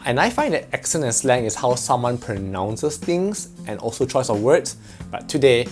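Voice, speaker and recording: A man's voice: medium pitch, darkish tone. A man from Malaysia with a Malaysian English accent, explaining things in a chatty, joking vlog. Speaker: vlogger.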